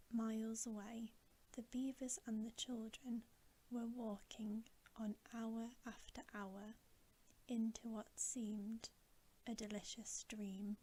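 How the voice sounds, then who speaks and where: A woman reading a bedtime story as ASMR in a soft, breathy whisper with a gentle, hushed delivery. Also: a little high, low-quality recording. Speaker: ASMR reader.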